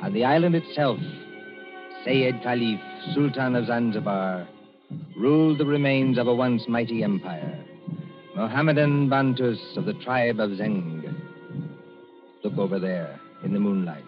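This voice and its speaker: A man as announcer and narrator, delivering dramatic old-time radio narration in a measured, ominous, theatrical way. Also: mid-range voice, animated. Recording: muffled old radio recording with loud music.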